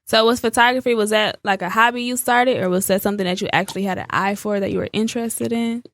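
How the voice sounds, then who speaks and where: A woman hosts a relaxed sit-down chat, nudging her guest along with quick encouraging remarks. Her voice sits at a middle pitch. The sound is dry and close. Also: no room sound. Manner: encouraging, brief affirmations. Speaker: interview host. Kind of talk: casual interview chat.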